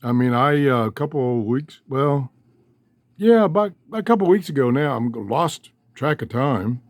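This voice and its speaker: A man's voice: mid-range voice, animated, normal speed, no echo, studio quality. An older man talking casually in a folksy, rambling way, often interrupting himself as he hosts a hobby vlog. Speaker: host of a shortwave radio hobby channel. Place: cluttered room downstairs at home. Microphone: handheld cell phone.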